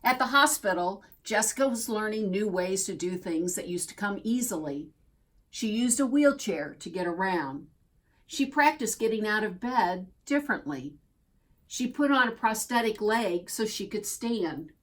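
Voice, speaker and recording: A woman reading a children's picture book aloud as a storyteller, expressively and deliberately, drawing out key words. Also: medium pitch, expressive, fairly bright tone, rough recording. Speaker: storybook reader.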